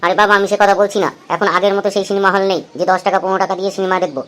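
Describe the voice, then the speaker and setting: Casting: male actor as thirties husband for comedy cartoon, quick delivery, chatty, thrifty, coaxing and teasing. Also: high-pitched, little pitch movement, fairly bright tone. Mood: exasperated. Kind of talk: amateur comedy cartoon dialogue.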